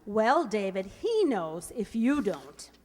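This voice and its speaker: Woman acting a plucky, animated young lady. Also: medium pitch, slow pace, slight background noise. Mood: composed, teasing. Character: plucky, self-possessed young woman guarding her baby. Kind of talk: old-time radio comedy acting. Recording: echoey hall stage recording.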